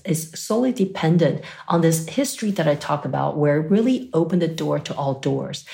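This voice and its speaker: A woman's voice: deep voice, vocal fry, warm tone. A woman from Taiwan, a guest expert, answering interview questions thoughtfully, often checking in with "right?" and "you know".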